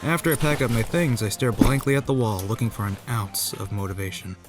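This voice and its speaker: A man voicing a wry, apathetic high school boy who narrates his school life in the first person for a visual novel dub. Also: a little fast, over music, close to an everyday voice. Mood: resigned. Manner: wry, resigned.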